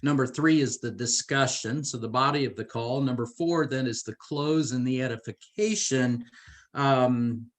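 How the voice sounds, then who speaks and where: A man's voice: medium pitch, a bit bright. A middle-aged man hosting a conversational business training interview in an enthusiastic, encouraging way. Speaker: host.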